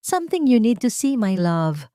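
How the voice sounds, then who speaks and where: A woman's voice: fairly high pitch, sing-song, normal speed, mellow, no echo, studio quality, pitched above the performer's own voice. Woman voicing a sweet-talking, scheming rich girlfriend. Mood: sugary.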